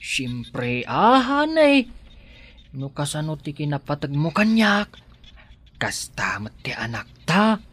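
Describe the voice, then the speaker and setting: A man's voice: on the high side, sing-song, slow pace, a bit bright, full character voice. A man playing a devoted, anxious young husband and expectant father in a radio drama, fussing and easily alarmed. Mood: tender, concerned.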